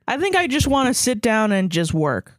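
A man in his twenties, co-hosting a podcast in relaxed chat and swearing often. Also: high voice, normal speed, dry recording, clean studio sound. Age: 20s. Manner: laid-back, swears a lot. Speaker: podcast co-host. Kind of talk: casual chat.

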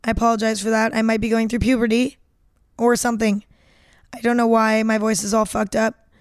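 A talkative young woman on the edge of nineteen, rambling and self-deprecating as she hosts her own podcast. Her slightly high voice is smooth and clear despite a hoarse, cracking edge. The recording is dry and close. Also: flat delivery, brisk, dry recording. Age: late teens. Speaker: podcast host. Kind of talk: casual solo podcast chat.

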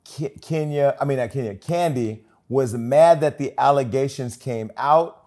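A man's voice: mid-range voice, a little slow, darkish tone. A man in a mid-range voice hosting a gossip interview. His delivery is campy and sassy, full of profane, emphatic asides. He leads the talk with loose, chatty questions. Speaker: podcast host.

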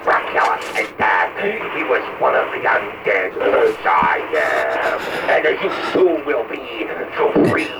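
An undead killer in a horror radio play, taunting and gloating with slow theatrical menace. His voice swings widely in pitch through a very noisy, distant line. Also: very animated. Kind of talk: horror radio drama acting.

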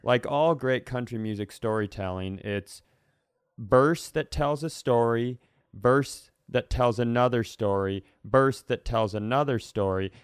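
A man co-hosting a comedy podcast in casual chat, rambling through animated, mock-serious explanations. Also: medium pitch, measured pace, clean tone, dry recording, clean studio sound. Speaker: podcast co-host.

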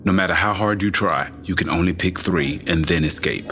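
A man playing a calm, cryptic cosmic guide in an audio drama, speaking in a measured, solemn, commanding way. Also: low voice, a little hiss or hum. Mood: solemn, instructing. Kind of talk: scripted audio drama acting.

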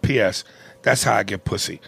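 A Canadian man with a gravelly voice talks bluntly and swears freely. He co-hosts a loose talk show, reading out listener comments and pushing back hard. His voice climbs into loud, emphatic bursts when he makes a point. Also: on the low side, normal speed, a little hiss or hum. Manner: blunt and profane, rising into loud emphatic rants. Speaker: podcast co-host.

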